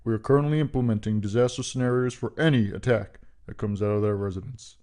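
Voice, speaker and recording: A man playing a zealous, deadpan presidential aide who speaks gravely and formally in a comic audio drama. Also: medium pitch, fast talker, close to an everyday voice. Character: stern, zealous male presidential security aide.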